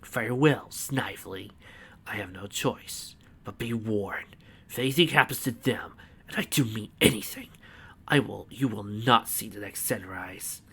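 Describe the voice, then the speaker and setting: A man's voice: measured pace, creaky, bright, forceful tone, slightly rough, full character voice. A man doing a cold, clipped enforcer. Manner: low, clipped, menacing. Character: cold, hard male enforcer, grim.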